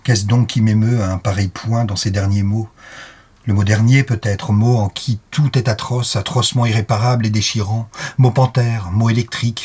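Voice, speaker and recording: Guy reading a poem out loud, lowish husky voice, short insistent chanted phrases, dramatic. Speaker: poetry reader. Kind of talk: dramatic poetry recitation.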